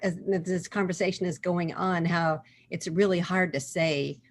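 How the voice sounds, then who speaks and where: Low-voiced woman musing on a video call. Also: fairly even intonation, unhurried, a little hiss or hum. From Oklahoma, USA. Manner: thoughtful, with restarts and "um" fillers. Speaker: reader taking part in a book discussion. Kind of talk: conversational discussion with a passage read aloud. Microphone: computer mic on a video call.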